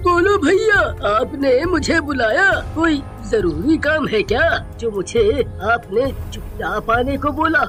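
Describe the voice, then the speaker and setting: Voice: high voice, expressive, slow pace, few pauses, a bit bright, full character voice. An actor voicing an eager, greedy male shop worker in a cartoon moral story, deferential and pleading, with music behind. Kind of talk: animated moral-story voice acting.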